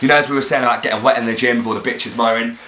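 A young British man on a living-room sofa, doing a mock-serious sales pitch with crude jokes for a comedy vlog. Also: fairly even intonation, racing, sharp tone. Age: young adult. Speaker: vlogger. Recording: roomy with faint noise.

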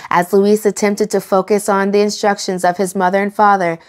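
Young female storytime host, expressive and engaging, reading picture books aloud for kids. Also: medium pitch, a little fast, faint music behind. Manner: expressive, engaging, enunciated for children. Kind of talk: animated read-aloud for children.

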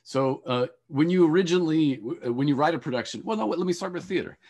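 A middle-aged man moderating an online panel, speaking haltingly and correcting himself, on a headset over a video call. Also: fairly high pitch, lively intonation, a little mellow. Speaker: panel moderator, theatre professor. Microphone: earphone headset on a video call.

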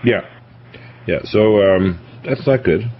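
A man's voice: on the low side, slow pace. A man hosting a radio panel and giving technical explanations in conversation. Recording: dull lo-fi radio audio, faint noise.

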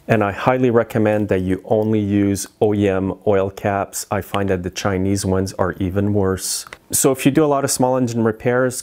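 Male shop mechanic, 40s, deep voice, matter-of-fact how-to delivery. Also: darkish tone. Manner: plain, practical, matter-of-fact explaining. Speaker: small engine repair mechanic. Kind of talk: how-to repair tutorial. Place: small engine repair shop, indoors.

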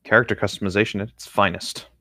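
A man's voice: lowish voice, fairly even intonation, fast talker, pushed and bright, no room sound, studio quality. A man chatting casually and reading a novel aloud with friends as a podcast co-host on a voice call. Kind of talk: casual chat and group read-aloud of a novel. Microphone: close mic on an online voice call.

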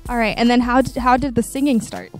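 A young adult woman hosting a studio interview, asking questions in a bright, encouraging way on a handheld mic. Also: a little high, animated, soft background music. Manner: bright, encouraging, quick follow-ups. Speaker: interviewer, show host. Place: small studio in front of a logo backdrop.

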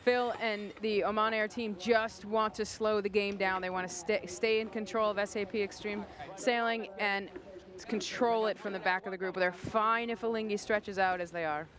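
A woman's voice: mid-range voice, fairly even intonation, normal speed, crowd noise. A woman giving thoughtful expert analysis as co-commentator on a live sailing race.

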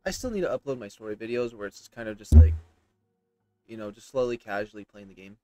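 A man's voice: lowish voice, expressive, fast talker, mellow, no room sound. A man in his thirties giving casual, rambling commentary as he plays a game, reacting and reading text aloud. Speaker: gameplay streamer. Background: game music.

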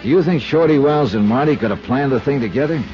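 An actor playing a blunt, clipped insurance investigator in a 1950s radio drama, on an old lo-fi recording. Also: male voice, mid-range voice, quick pace, soft background music, close to an everyday voice. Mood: terse. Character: hard-boiled male insurance investigator, dry and probing. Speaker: lead detective character. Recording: dull lo-fi vintage radio transcription.

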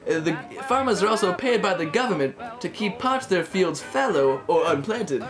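A man's voice: high voice, animated, moderate pace. A teenage man playing a jovial, flippant 1930s radio co-host in a chatty, hammy style. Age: teens. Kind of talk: mock 1930s radio program, scripted. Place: small wood-panelled room indoors. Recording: music playing over the voice.

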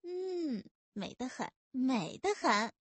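A woman voicing a playful, boastful young female constable in an audiobook, teasing and quick-tongued. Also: sits high, husky, no echo, heavily acted. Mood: gleeful. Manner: teasing, lively.

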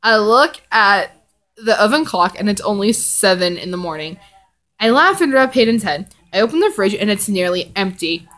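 A woman's voice: mid-range voice, expressive, normal speed, fairly bright tone. A young woman vlogger chatting casually and reading fan fiction in a rambling, giggly way. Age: young adult. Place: living room indoors.

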